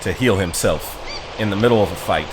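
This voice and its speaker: Low-voiced man casually streaming a game. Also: background noise. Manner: offhand, halting explanations. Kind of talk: casual live commentary. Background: game music.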